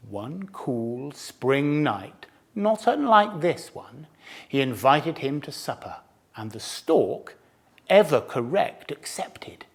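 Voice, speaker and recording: Sly boastful fox, man reading, storybook teller; slow, lively melody. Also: on the high side, vocal fry, full character voice. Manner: relishing, theatrical, savouring food words. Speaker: storyteller to cubs. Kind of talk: storybook narration.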